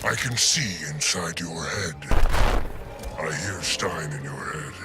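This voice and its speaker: A man playing an arrogant, menacing telepathic gorilla in a video game, with a superior, deliberate manner. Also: rumbling low, expressive, exaggerated voice. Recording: game audio under loud music.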